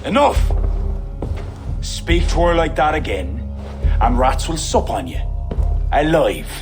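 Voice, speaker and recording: An actor playing a stern, hot-blooded High King in a video game, with a commanding, decisive delivery. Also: sing-song, takes it slowly. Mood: threatening. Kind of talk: dramatic video game cutscene acting. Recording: prominent music behind the voice.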